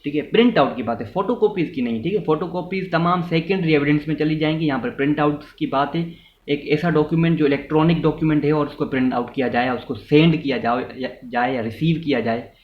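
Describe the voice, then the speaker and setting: A man's voice: fairly high pitch, fast talker. A man with a Pakistani accent giving an explanatory law lecture, mixing in English terms and restating each point in a didactic way. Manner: didactic, repeats and rephrases points, checks in with listeners. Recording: muffled, roomy echo, background noise.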